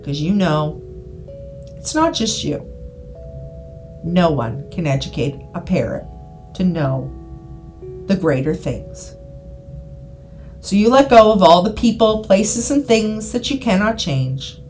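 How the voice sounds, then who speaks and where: Slow-paced woman guiding hypnosis over music. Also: lowish voice, animated, darkish tone. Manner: soothing, deliberate, repetitive phrasing with pauses. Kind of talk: scripted guided hypnosis narration. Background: background music.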